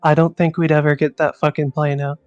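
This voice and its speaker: A man talks casually as a tabletop game player. His voice is a little high and very clear. The recording is dry, with faint music behind. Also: flat delivery, a little fast, darkish tone, no room sound, highs cut off.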